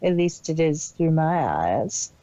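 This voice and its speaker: A woman's voice: low-pitched, very animated, slow pace, mellow, no room sound, muffled recording, full character voice. A woman voicing a cold, detached, imperious female pony villain boss in an amateur story reading. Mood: smug, self-assured. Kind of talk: amateur fan fiction audio reading.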